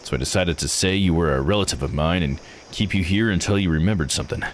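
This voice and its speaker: A man voicing a blunt, plain-spoken man from a visual novel game, gruff and probing. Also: low voice, quick pace, slight background noise, natural voice. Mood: matter-of-fact.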